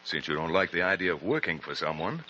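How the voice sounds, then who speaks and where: An actor playing a steady, heroic cowboy, firm and fatherly, with folksy commanding talk in an old radio western. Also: low-pitched, quick pace, exaggerated voice. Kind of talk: 1940s radio western acting. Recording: muffled, noisy old radio recording.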